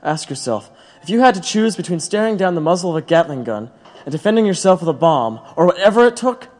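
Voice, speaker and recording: An actor in an audio drama playing a proud, bitter labor radical, speaking earnestly and rhetorically. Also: male voice, sits high, expressive, fast talker, a little mellow. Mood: grave, resolute. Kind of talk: dramatic audio play acting. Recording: long hollow reverb.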